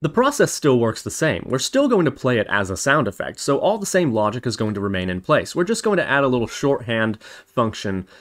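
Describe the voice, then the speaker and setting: Man with lively pitch narrating a coding tutorial. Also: fairly quick, few pauses. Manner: spells out steps as he types. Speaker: programming tutorial host. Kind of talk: casual screen-recorded coding tutorial narration.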